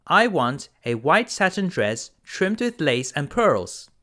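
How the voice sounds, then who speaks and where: A man's voice: on the high side, big pitch swings, slow pace, fairly bright tone, exaggerated voice. A man voicing a spoiled, demanding young woman, a merchant's daughter in a children's fairy tale, in a wheedling manner.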